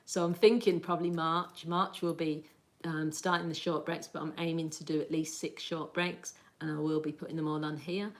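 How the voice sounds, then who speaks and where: A woman's voice: sits low, flat delivery, normal speed. A woman hosting a casual livestream, chatting at length and answering viewer comments with frequent laughter. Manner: chatty and rambling, laughs often. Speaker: livestream host.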